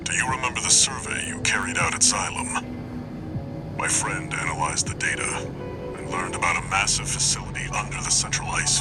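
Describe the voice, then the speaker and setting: A man playing a gruff, weary older mercenary handler in a video game, briefing in a hushed, measured way. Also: sits low, close to an everyday voice. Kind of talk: scripted video game voice acting.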